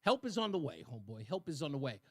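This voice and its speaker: A man's voice: fairly high pitch, big pitch swings, quick pace, dry recording, studio quality. A man hosting a radio talk show, chatting casually and joking with a loose, laughing manner. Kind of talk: casual on-air chat.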